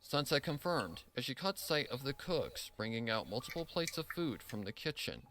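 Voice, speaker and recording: A man's voice: medium pitch, very animated, moderate pace, fairly bright tone, faint music behind. A man reading scripted story narration as the narrator. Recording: clear background noise.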